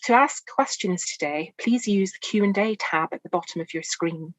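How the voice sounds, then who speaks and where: Woman doing the housekeeping intro for a video call panel, lowish voice, dry sound, formal. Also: dry recording, rough recording. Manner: formal, measured. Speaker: event organiser for alumni association.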